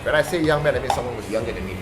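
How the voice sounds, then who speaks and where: A man's voice: mid-range voice, animated, racing, noisy background. A man, hosting a casual interview with a joking, teasing manner. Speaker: host.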